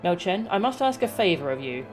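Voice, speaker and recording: A woman voicing a courteous, solemn fantasy leader from a video game in formal, measured phrases, with music behind her. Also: fairly low pitch, big pitch swings.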